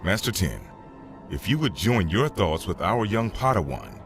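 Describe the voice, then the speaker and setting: A man voices a stern, commanding warrior monk in a comic dub. His deep voice is authoritative and expressive, with music playing behind it. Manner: authoritative, deliberate. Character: stern, commanding male Jedi master. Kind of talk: comic dub acting and narration.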